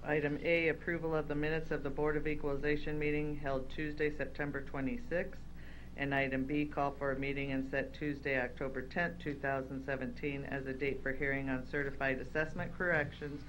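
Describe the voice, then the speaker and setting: A man presiding over a public county board meeting, relaxed and informal, with many fillers. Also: a little high, brisk, a little hiss or hum, low-quality recording. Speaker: meeting chair. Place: county legislative chambers.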